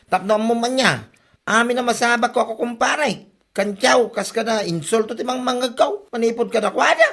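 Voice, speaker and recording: Gravelly, creaky, exasperated Ilocano husband , voiced by a woman. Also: a little high, moderate pace, fairly bright tone. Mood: embarrassed, scolding. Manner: scolding, exclamatory. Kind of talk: Ilocano comedy radio drama acting.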